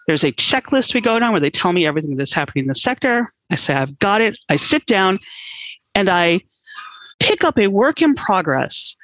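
A middle-aged American woman explaining her work as an interview guest, in deliberate, measured phrases. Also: fairly low pitch, fairly bright tone, no room sound, dull audio. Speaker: air traffic controller, interview guest.

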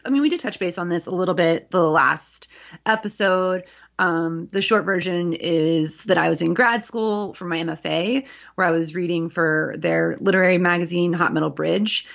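A woman's voice: lowish voice, normal speed, creaky, fairly bright tone, dull audio. A woman in her thirties co-hosting a podcast in casual chat, talking freely with many fillers and restarts. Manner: chatty, lots of fillers and restarts. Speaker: podcast co-host and journal editor.

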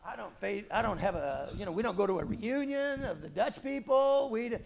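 A middle-aged man preaching a sermon in a conversational way, dropping to quiet asides and rising to loud, emphatic points. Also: sits high, animated, brisk, vocal fry. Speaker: pastor.

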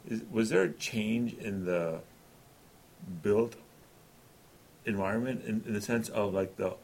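A man from Pakistan with a fairly low voice, taking part in a casual academic discussion. He asks curious, stumbling questions, repeating words as he searches for them. Faint background noise sits under his voice. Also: measured pace, creaky, a little mellow. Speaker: faculty researcher.

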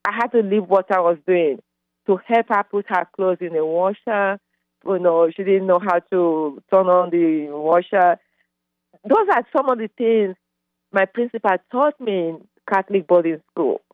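A woman's voice: lowish voice, measured pace, dry recording. Nigerian woman on a tinny phone line. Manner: rambling, many fillers and restarts. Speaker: caller. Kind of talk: casual phone call.

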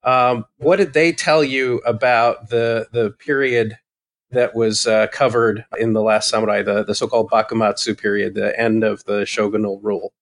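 A man hosting a casual podcast chat, speaking haltingly with many "uh" fillers. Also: lowish voice, steady tone. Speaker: podcast host, society committee member.

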